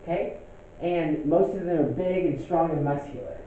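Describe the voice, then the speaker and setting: A man's voice: on the high side, moderate pace, mellow, slight background noise. A young man teaching a class in a conversational way, often checking in with "okay?". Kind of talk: classroom lecture. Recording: echoey room, phone-like lo-fi sound.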